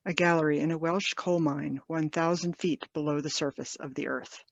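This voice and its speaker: A woman announcer giving a formal, scripted introduction to radio plays in a measured, declarative manner. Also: low-pitched, steady tone. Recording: dry, slightly dull lo-fi audio.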